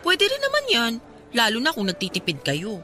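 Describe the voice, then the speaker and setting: A woman's voice: on the high side, wildly swooping pitch, normal speed, sharp tone, soft background music, exaggerated voice. A woman playing a sensible, frank young woman confiding in a friend in a Filipino radio drama, plain-spoken, with a Filipino accent. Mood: practical, teasing.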